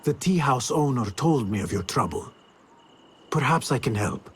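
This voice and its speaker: An actor voicing a stealthy assassin who speaks in a measured, quiet, resolute way. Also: male voice, medium pitch, animated, breathy edge. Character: stealthy hooded assassin, calm and determined.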